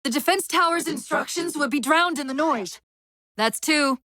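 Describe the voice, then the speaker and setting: An actress playing a female military AI companion in a video game, urgent and witty but fraying under strain, with crisp tactical delivery and dry sarcasm. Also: high voice, moderate pace, sharp tone, no echo. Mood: focused, briefing. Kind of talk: dramatic game voice acting.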